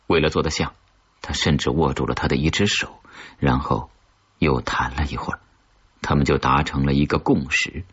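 A man with a husky voice reading a scripted suspense story as an audiobook narrator. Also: a bass voice, a bit bright, dull audio.

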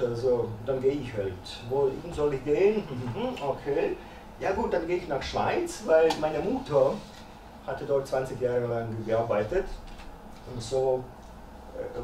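A man's voice: mid-range voice, mellow. A man in his fifties from Croatia, speaking German as a second language with a Croatian accent, giving a hesitant lecture full of "äh" fillers. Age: 50s. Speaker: guest speaker. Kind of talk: lecture talk. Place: dim lecture salon with projector, small audience. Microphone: far room camera. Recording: echoey room, distant mic, faint noise.

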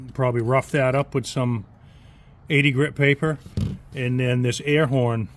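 A middle-aged man talking casually at his workbench, explaining each step in a plain-spoken way. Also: medium pitch. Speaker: chainsaw modder. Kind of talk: casual workshop tutorial. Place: workshop bench indoors.

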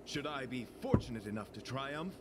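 An actor playing a courteous, earnest young knight devoted to beauty, speaking in formal, florid phrases for a video game. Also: male voice, medium pitch, animated, normal speed, very noisy, natural voice. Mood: gentle, devout. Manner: formal, florid, chivalrous delivery. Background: game music.

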